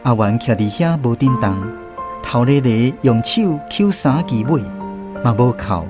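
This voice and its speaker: An actor playing a reflective former soldier, narrating a radio drama expressively with a Taiwanese accent. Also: male voice, medium pitch, unhurried, over music, lightly acted. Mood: wistful. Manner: expressive storytelling.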